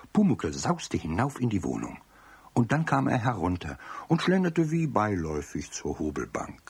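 A man narrating a children's radio play as scripted storytelling, expressive and speaking directly to young listeners. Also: sits low, breathy edge, dry recording.

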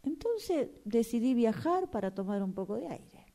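An actress plays a gullible, superstitious Argentine woman in a radio play. She tells her troubles with dramatic emphasis, and her pitch swings in a lively way. She is quick to take offence. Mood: confiding. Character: superstitious, gullible, indignant woman seeking refuge with her fortune-teller.

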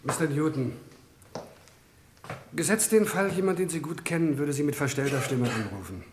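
An actor playing a sharp, cunning male prosecutor, formal and insistent, in a classic crime radio play. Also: on the high side, expressive, normal speed, lightly acted. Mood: pressing, sly. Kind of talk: classic German crime radio drama acting. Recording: echoey courtroom-like hall, background noise.

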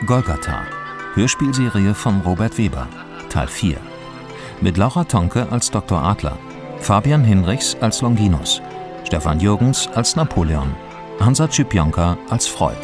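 A man's voice: on the low side, sing-song, unhurried, music in the background. A man reading scripted credits as announcer of a radio drama.

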